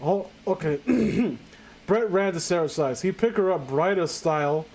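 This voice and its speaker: High-pitched incredulous man reading aloud. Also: unhurried, darkish tone, a little hiss or hum. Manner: incredulous, stumbling over the text. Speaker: host reading aloud and commenting. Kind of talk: casual mocking reading of amateur fan fiction with friends.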